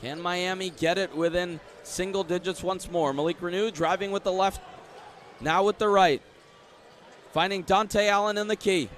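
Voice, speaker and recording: A man doing live basketball radio play-by-play as the announcer, with excited bursts. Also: high-pitched, moderate pace, slight background noise. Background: basketball arena ambience, bouncing balls.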